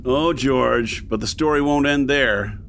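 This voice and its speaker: An actor playing an ominous, fanatical prophet with a gravelly, drawn-out delivery in an audio drama. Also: male voice, mid-range voice, a bit bright, a little hiss or hum. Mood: ominous, exultant. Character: fanatical prophet bent on fiery self-sacrifice.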